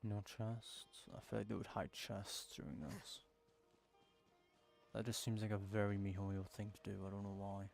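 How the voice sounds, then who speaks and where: A man's voice: fairly low pitch, fairly even intonation, normal speed, creaky, darkish tone, faint music behind. A man giving casual let's-play commentary, rambling and thinking aloud as he plays. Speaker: gaming streamer.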